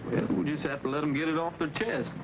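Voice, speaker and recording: An actor playing an easygoing, level-headed cowboy hero with a relaxed, folksy manner in an old western. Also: male voice, fairly high pitch, fast, vocal fry. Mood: easy reassurance. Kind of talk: old-time western film acting. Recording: noisy, muffled vintage soundtrack.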